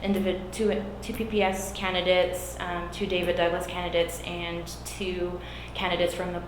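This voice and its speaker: A woman running for a school board seat, whose first language is Spanish, answering questions earnestly with frequent pauses and fillers. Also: fairly low pitch, little pitch movement. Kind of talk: candidate interview. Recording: echoey room, background noise.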